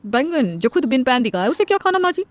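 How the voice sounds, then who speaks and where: A woman performs a cheeky older housemaid from a household tale. She answers back shrewdly and quickly in a fairly high voice. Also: very animated, no room sound. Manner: saucy, talking back.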